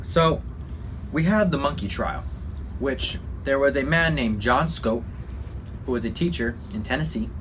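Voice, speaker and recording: Man, informal mock news presenter, halting slangy explanations, medium pitch, faint background noise. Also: a bit bright. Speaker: presenter in a student history project.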